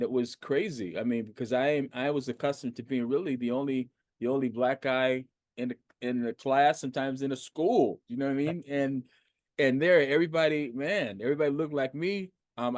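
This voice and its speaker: This is a man in his fifties, an American, hosting an interview with easy, loose talk. He often restarts his sentences and fills pauses with "um" and "you know." His pitch sits in a middle range, and the recording is dry and close. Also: dry recording. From United States. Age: 50s. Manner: animated, conversational, lots of fillers and restarts. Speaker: podcast host. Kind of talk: casual interview.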